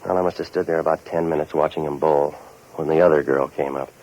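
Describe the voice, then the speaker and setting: A very low-pitched hard-boiled private investigator from 1940s radio noir. He is cynical and wisecracking, with a deadpan, sardonic delivery. The old broadcast recording is dull and muffled. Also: quick pace, slight background noise. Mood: wry, world-weary narration. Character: wisecracking, cynical tough-guy hired investigator and narrator.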